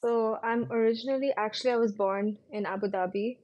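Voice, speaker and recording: A young woman from India, a physical therapist, answering interview questions in a relaxed, conversational way, often hedging with "like" and "you know". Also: fairly high pitch, fairly quick, muffled recording.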